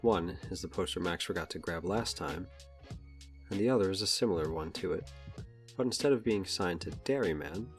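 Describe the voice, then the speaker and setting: A man's voice: low-pitched, moderate pace. A man reading scripted present-tense narration for an audio drama. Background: music.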